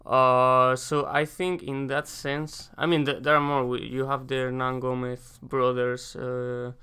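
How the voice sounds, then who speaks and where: A young man from Spain with a Spanish accent, an exchange student answering interview questions casually and haltingly, pausing to search for English words. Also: mid-range voice, little pitch movement, sharp tone, clean tone. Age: young adult.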